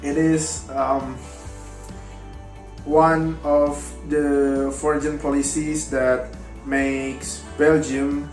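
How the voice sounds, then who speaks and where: Slow, hesitant young student, echoey room. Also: male voice, fairly high pitch, little pitch movement, a little mellow. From Indonesia. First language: Indonesian. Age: young adult. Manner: hesitant, many fillers, halting non-native grammar. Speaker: university student presenting. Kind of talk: class presentation. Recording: echoey room with faint guitar music.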